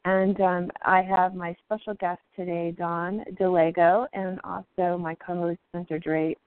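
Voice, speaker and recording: A woman hosting a live indie music show, raspy from the flu, talking haltingly with many ums. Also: fairly low pitch, little pitch movement, no room sound. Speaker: radio show host. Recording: tinny phone-like line.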